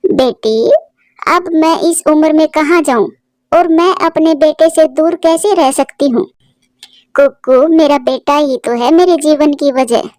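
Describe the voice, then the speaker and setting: A woman's voice: high voice, unhurried, a little mellow, no echo, studio quality. A woman voicing a sorrowful, tender elderly mother bird in a cartoon, with a plaintive, emotional delivery. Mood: hurt, tearful.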